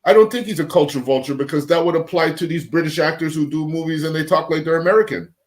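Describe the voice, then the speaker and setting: A man's voice: a little high, fast. Blunt, profane man in casual debate. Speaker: panelist.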